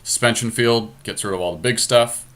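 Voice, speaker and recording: A man's voice: mid-range voice, fairly quick, fairly bright tone. A man giving casual live commentary as he plays a card game draft, thinking aloud in a conversational, analytical way. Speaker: podcast host and player.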